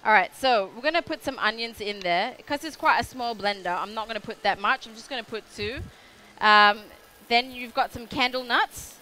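Young aussie woman cooking on stage, bright voice, chatty and rambly, faint hum of the crowd behind. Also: fairly high pitch, normal speed, poor audio. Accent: Australian. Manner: chatty, rambling with many fillers. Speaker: cooking demonstrator. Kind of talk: live cooking demo. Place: food show stage kitchen with audience.

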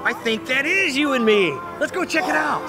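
A middle-aged man playing park ranger host on a children's show, chatting in an excitable, informal way full of exclamations. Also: extremely high pitch, moderate pace, creaky. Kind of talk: playful scripted children's adventure chat. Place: large indoor dinosaur exhibition hall. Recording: music under the voice.

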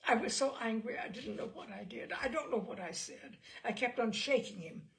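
A woman's voice: a little high, moderate pace, vocal fry, pitched above the performer's own voice. A woman performing a tormented, bitter wife making a confession in a dramatic, intense storytelling manner. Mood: furious. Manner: dramatic, intense, hushed then rising to cries.

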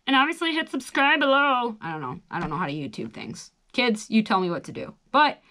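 A woman's voice: fairly high pitch, very animated, a little fast, bright, forceful tone. An American woman in her twenties chatting casually and reading a quiz aloud, with wry, self-deprecating asides. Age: 20s. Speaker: host of a solo quiz video. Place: small radio studio.

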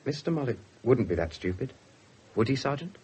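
A man's voice: medium pitch, sing-song, fairly quick, a little mellow, breathy edge. An actor playing a young, prim, disapproving junior policeman in a radio drama. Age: young adult. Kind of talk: 1970s radio drama acting. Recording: noisy 1970s radio broadcast recording.

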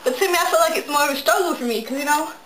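High-voiced hesitant young woman. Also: rapid-fire, a loud crowd, low-quality recording. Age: young adult. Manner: hesitant, giggly, unsure. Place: bedroom indoors.

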